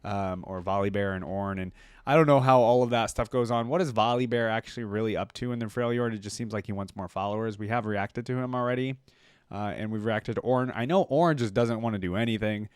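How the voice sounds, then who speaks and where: A man's voice: fairly low pitch, fairly quick, smooth, no echo, clean studio sound. A man hosting reaction videos, chatting casually to viewers in a rambling, musing, enthusiastic fan style. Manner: rambling, musing, often hedging with "like" and "you know".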